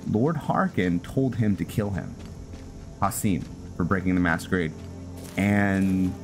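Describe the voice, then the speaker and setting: A man giving casual gameplay commentary, rambling and hesitant as he thinks aloud. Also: lowish voice. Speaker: gaming video host; roleplay story writer. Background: music.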